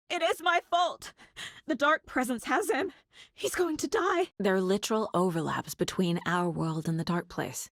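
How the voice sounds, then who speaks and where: A woman's voice: mid-range voice, dry recording, clean studio sound. A woman playing a serious, determined FBI agent in a video game, often musing to herself as she investigates. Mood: anguished, panicked. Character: determined, level-headed female FBI agent investigating supernatural horror. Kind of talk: dramatic video game voice lines.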